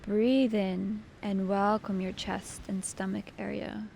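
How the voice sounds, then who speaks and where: Woman, meditation guide, very slow pace, soft mellow tone, gravelly fry, soothing delivery, faint ambient noise. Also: mid-range voice, flat delivery. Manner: soothing, gentle, unhurried instruction.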